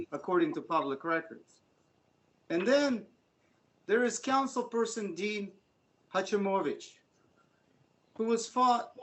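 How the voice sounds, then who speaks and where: A middle-aged man gives an earnest, somewhat halting public comment at a council meeting. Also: sits high, fairly bright tone, rough recording. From Ukraine. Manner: earnest, a bit halting, with frequent "uh" fillers. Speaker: resident giving public comment.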